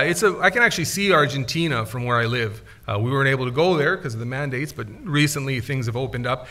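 A man's voice: medium pitch, pushed and bright. A middle-aged man giving a casual missionary report from a church pulpit, talking conversationally with frequent filler sounds. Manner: conversational, many "uh" fillers, gestures with hands. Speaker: missionary speaker. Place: church sanctuary at a wooden pulpit. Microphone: pulpit microphone, far camera.

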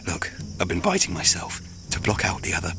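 A man's voice: sits low, sing-song, brisk, background noise. An actor playing a desperate, disgraced young Englishman who pleads in anguish in a radio play.

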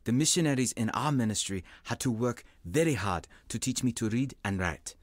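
An actor playing an earnest, self-doubting African evangelist nearing forty, telling his testimony in an audio drama in a measured, confessional way. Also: medium pitch, lively intonation, dry recording, clean studio sound, natural voice. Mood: hesitant, earnest. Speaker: narrator and lead character in an audio drama.

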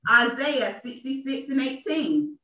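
A woman preacher speaks in a high voice, giving an emphatic sermon with deliberate repetition. She is heard at a distance in a small, echoey church. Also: animated, pushed and bright. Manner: emphatic, repeats phrases for effect. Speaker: minister. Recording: echoey, dull church livestream from off.

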